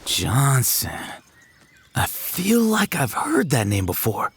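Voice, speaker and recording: Slow, swooping young jock. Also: male voice, mid-range voice, huge pitch swings, breathy edge, heavily acted. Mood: puzzled. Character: friendly, slightly slow-witted young male athlete. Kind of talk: audio drama acting.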